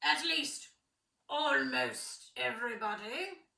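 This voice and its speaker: A nagging, blustering old king, put on by a woman reading aloud. He needles his son with loud, teasing questions in a high, swooping voice. The sound is bright and roomy, heard from a distance. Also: expressive, slow pace, creaky, full character voice. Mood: needling. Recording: echoey room, distant mic.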